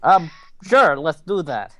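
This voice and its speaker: A man's voice: on the high side, very animated, takes it slowly, fairly bright tone, no room sound. A man playing a tabletop game, chatting casually and hesitantly as he works out what to do. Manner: hesitant, unsure, fumbling for decisions.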